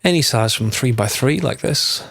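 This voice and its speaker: A man, slightly hoarse from a cold, giving a casual game tutorial and thinking aloud as he corrects himself. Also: medium pitch, expressive, normal speed, a bit bright, no echo, studio quality.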